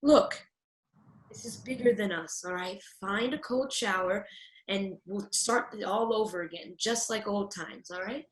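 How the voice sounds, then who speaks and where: A woman's voice: medium pitch, unhurried, reverberant, slight background noise, close to an everyday voice, poor audio. A woman playing a young fairy tale girl, sweet yet no-nonsense, in a comic radio play. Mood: weary, coaxing.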